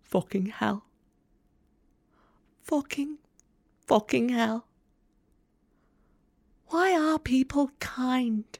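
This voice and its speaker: An actress playing a young student, guarded, sardonic and candid, in an intimate monologue for an audio play. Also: female voice, high-pitched, very slow, pauses often, darkish tone, dry recording, heavily acted. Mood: overwhelmed. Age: young adult. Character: guarded, sharp-tongued young female student, hurt but hopeful.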